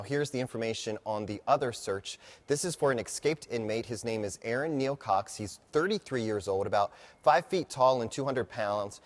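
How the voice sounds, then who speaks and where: An American man presenting news alerts and updates on television in a scripted broadcast delivery. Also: medium pitch.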